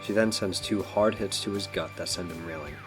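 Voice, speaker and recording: A man's voice: low voice, a little fast. A man narrating a scripted audio drama in the third person. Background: background music.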